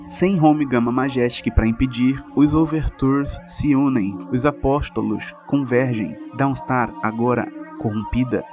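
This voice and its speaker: A Brazilian man delivers scripted explainer narration in a medium-pitched voice. His phrasing is wry, with dry asides slipped into the exposition. Music plays behind him. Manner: wry, dryly humorous asides. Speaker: video essay narrator.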